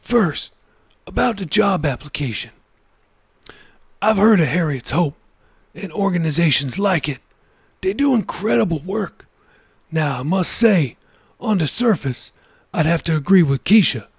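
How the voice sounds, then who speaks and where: A man voicing an older male pastor who gives kindly, measured advice in a storybook reading. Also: on the high side, expressive, slightly rough, dry recording, pitched above the performer's own voice, full character voice. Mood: counseling. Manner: gentle, measured, advising.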